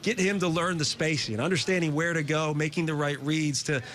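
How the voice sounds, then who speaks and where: A man's voice: a little high, a little fast, a bit bright. A man hosting a sports TV interview, asking long, detailed questions of college players. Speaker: sports TV host.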